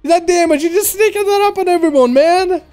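A man with a slight Russian accent giving excited, fast play-by-play commentary on a video game match, with loud outbursts at big plays. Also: extremely high pitch, faint music behind. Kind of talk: casual esports commentary.

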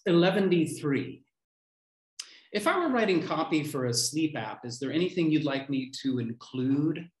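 A man in his fifties reading his poems aloud on a video call from home, declaiming short lines with deliberate pauses. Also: a little high, big pitch swings. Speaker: poet reading own poems. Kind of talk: live poetry reading. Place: small room at home. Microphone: computer mic on a video call. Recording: roomy, echoey home video-call sound.

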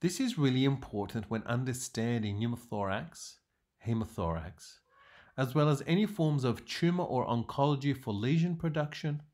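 A man lecturing in a measured, explanatory way, narrating slides as a medical teacher with emphatic sentence openings. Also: medium pitch, expressive, darkish tone.

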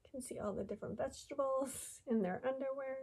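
A woman in her thirties, a teacher reading a picture book aloud to children with animated, exaggerated delivery and drawn-out words. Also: sits high, gravelly, slightly rough. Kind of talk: expressive children's storybook read-aloud. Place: small indoor room with classroom displays.

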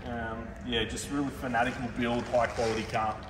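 A man's voice: medium pitch, flat delivery, a little fast. Laid-back man in a showroom. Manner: laid-back, enthusiastic car talk with fillers. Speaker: car dealer host. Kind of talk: casual showroom walkthrough. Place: large indoor car showroom. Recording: roomy reverb, mic far off, background noise.

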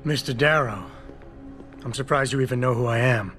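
An actor playing a guarded security chief in his thirties in a video game, clipped, dry and deadpan. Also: mid-range voice, normal speed, soft background music, natural voice. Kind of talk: video game cutscene acting.

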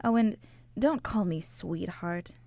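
A woman's voice: on the low side, expressive, slow, vocal fry, dark tone, no room sound, heavily acted. Matter-of-fact American barmaid, played by a woman, dry close sound. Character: plain-spoken, unimpressed young American barmaid, hotelier's granddaughter. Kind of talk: radio drama dialogue.

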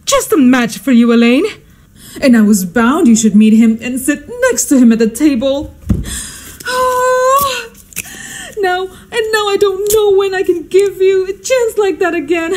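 A woman's voice: extremely high pitch, animated, slow pace, exaggerated voice. A woman playing an imperious, easily outraged society hostess and mother in a radio drama, exclaiming and scolding. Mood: outraged, wailing.

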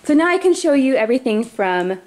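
A woman's voice: sits high, big pitch swings, a little fast, a little mellow. A woman in her thirties chatting casually to her viewers at home, upbeat and friendly while showing groceries to the camera. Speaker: family vlogger. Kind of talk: casual grocery haul chat. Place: home, indoors. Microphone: camera mic, off-screen speaker filming products.

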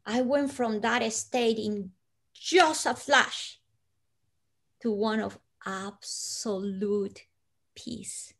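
A woman in her forties from Colombia with a Colombian Spanish accent, telling a personal story as an interview guest over a video call, slow and hushed with soft breathy dips and sudden lifts. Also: on the high side. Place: living room at home.

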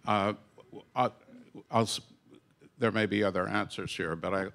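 A man's voice: sits low, fairly bright tone. An older man, a foundation founder, speaking earnestly on a panel into a handheld mic in a conference room, with frequent fillers and restarts. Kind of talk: panel discussion remarks. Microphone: handheld microphone.

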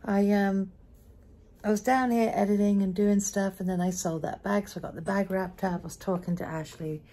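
A woman's voice: medium pitch, steady tone, moderate pace, warm tone. A middle-aged woman chatting casually to viewers in a rambling way, recorded at home in a living room on a camera at arm's length. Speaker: vlogger.

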